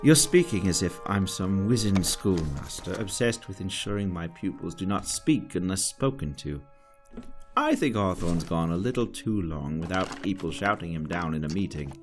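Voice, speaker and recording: Wry director, male actor, deep, lively intonation, formal authority, music underneath. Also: moderate pace. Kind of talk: audio drama acting. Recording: music behind the voice.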